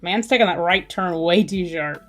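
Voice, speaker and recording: Woman, slightly low voice, casual drawing-stream chat, musing, thinking aloud, faint music behind. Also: normal speed, a bit bright. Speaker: artist hosting a drawing livestream.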